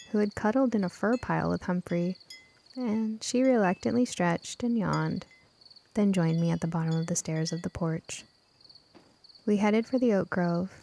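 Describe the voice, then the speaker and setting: A woman's voice: mid-range voice, gravelly, mellow, a little hiss or hum. A woman reading scripted first-person story narration as an audiobook narrator.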